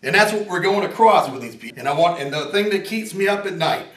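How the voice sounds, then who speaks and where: A man in his forties with a raspy voice, an inventor talking in a casual, rambling and earnest way, sometimes joking about himself. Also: high-pitched, lively intonation, fast talker, a bit bright. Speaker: inventor and veteran interviewee. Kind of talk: casual interview talk. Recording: roomy echo, from a distance.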